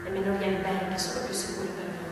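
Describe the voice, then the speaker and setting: An actress playing a weary, hunted woman revolutionary, reflective and nostalgic, in a theatrical monologue with dramatic pauses. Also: lowish voice, little pitch movement, heavy background noise, natural voice. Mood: weary, worried. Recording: echoey hall, distant, loud music. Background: loud music.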